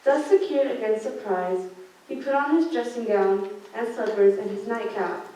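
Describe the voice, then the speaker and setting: A woman's voice: on the high side, moderate pace, warm tone, lightly acted. A young woman student reading scripted story narration for a radio play. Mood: narrating. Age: teenager or young adult. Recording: echoey room, far mic, background noise.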